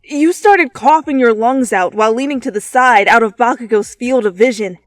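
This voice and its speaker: A woman's voice: on the high side, normal speed, no echo. A woman reading fan fiction aloud as a dramatic second-person story narration. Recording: close, dry, music behind.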